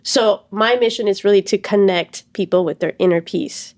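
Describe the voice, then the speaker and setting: Woman guest from Cuba, 30s, mid-pitched, earnest storyteller. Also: moderate pace, a little mellow. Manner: earnest, explains at length with fillers. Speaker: stress and anxiety coach, guest. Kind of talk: reflective interview talk.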